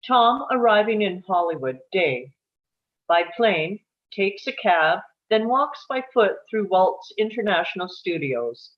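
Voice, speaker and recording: A woman's voice: mid-range voice, very animated, a little slow, dull audio. A woman reading stage directions as scripted narration at a table read.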